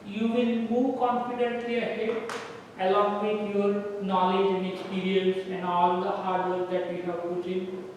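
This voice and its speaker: A man giving a prepared speech at a club meeting, soft-spoken and flat, with little inflection. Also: high voice, unhurried, slight background noise. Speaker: club speaker. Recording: echoey hall from far off.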